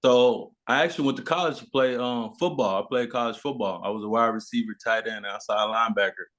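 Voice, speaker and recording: A man's voice: medium pitch, fairly quick, fairly bright tone. Young man, casual storytelling. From born in South Sudan, grew up in Memphis, USA. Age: young adult. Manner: informal, slangy. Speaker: guest, basketball player.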